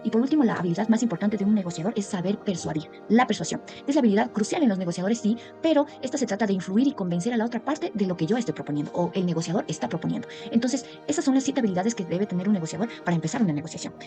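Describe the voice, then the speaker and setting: Fast-talking woman presenting, mellow voice. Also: mid-range voice. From Ecuador. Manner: didactic, enumerating points. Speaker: university student presenter. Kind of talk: explanatory academic presentation.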